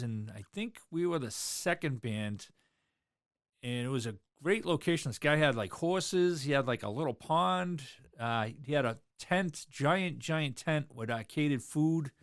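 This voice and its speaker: A man's voice: mid-range voice, lively intonation, moderate pace, a bit bright, dry recording, studio quality. A man, a drummer talking loosely in an interview, rambling with lots of fillers. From South Boston, USA. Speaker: drummer and guest. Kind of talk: casual interview chat.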